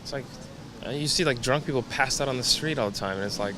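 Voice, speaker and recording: Man, casual rambling answers, mid-pitched, loud street noise. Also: fast. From United States. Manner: relaxed, rambling with many fillers. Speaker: interviewee, former English teacher. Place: outdoors on a city street.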